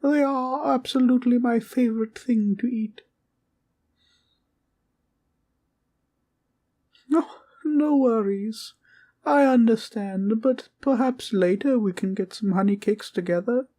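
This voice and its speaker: Woman doing a tiny kind teddy bear for comfort audio, super mellow n slow, sweet polite bedtime guardian talk. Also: on the high side. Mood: tender, earnest. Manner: sweet, polite, old-fashioned wording with soft giggles. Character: small, kindly awakened teddy bear guardian, childlike and courtly.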